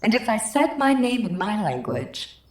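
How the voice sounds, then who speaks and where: A woman's voice: medium pitch, big pitch swings, moderate pace, echoey room, natural voice. A woman playing a chatty, pleased-with-herself alien visitor in a radio play, with a theatrical manner. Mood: breezy, explaining.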